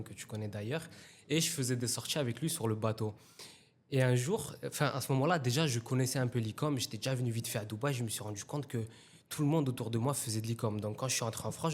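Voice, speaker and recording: A man in his twenties, a guest from Italy, telling a story in a relaxed, slangy way in a casual interview. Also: mid-range voice. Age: 20s. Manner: relaxed anecdotal telling, slangy, with acted quotes.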